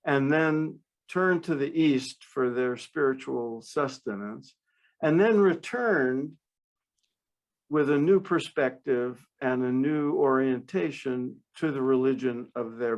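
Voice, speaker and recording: A man with a strongly gravelly voice and an unhurried pace. He co-hosts a podcast and puts long, reflective questions to his guest. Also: mid-range voice. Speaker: podcast co-host. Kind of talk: interview questions.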